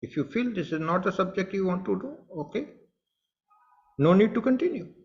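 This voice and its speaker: Indian man giving a high, earnest talk. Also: animated, moderate pace, lo-fi sound. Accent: Indian English. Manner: earnest, repetitive phrasing, direct address. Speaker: spiritual teacher. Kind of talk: satsang talk to online followers.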